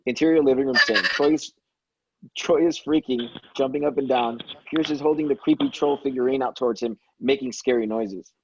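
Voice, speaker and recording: A man's voice: mid-range voice, moderate pace, vocal fry, background noise. A man reading stage directions as narrator in an amateur table read, stumbling now and then and correcting himself. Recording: dull, dry lo-fi microphone.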